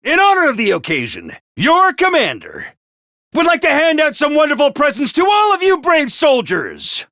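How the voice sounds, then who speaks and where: A man voicing a small, bossy male robot commander in a video game, theatrical and self-important, prone to shouting. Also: huge pitch swings, moderate pace, no room sound, clean studio sound, exaggerated voice. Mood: pompous. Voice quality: squeaky, over-the-top. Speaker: voice actor. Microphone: dry studio mic.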